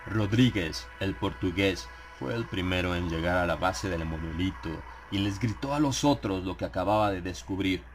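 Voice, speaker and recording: A man narrating a dramatized horror audiobook in a solemn, measured manner with suspenseful pauses. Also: sits low, background noise. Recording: clear ambient sound effects behind the voice. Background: atmospheric horror sound effects.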